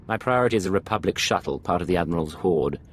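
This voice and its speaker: A man's voice: lively intonation, fast, a little hiss or hum. An actor playing a cold, focused male Imperial agent in a video game, clipped and businesslike. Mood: curt, commanding. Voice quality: low, gravelly.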